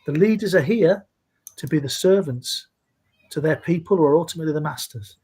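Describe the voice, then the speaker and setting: A man in his sixties from Scotland, speaking in a measured, earnest way as a guest in a live video-call interview. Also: fairly high pitch, expressive, a little mellow, husky. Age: 60s. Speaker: interview guest, safety leader.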